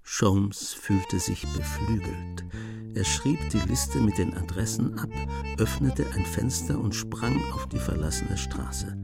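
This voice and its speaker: A man narrating a scripted radio drama in a measured storytelling manner. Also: on the low side, creaky, loud music behind the voice.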